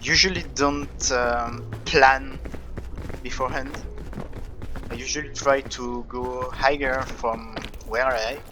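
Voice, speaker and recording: A young man with a French accent, casually commenting on his own gameplay and thinking aloud, with frequent pauses and fillers. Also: mid-range voice, fairly even intonation, music in the background, poor audio. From France.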